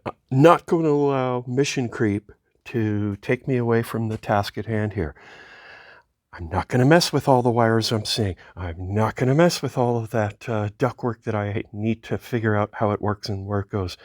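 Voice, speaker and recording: A man's voice: lowish voice, moderate pace, dry recording, studio quality. A man hosting a car hobby video, narrating his hands-on work casually, musing aloud and easily amazed. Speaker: host of a car hobby channel.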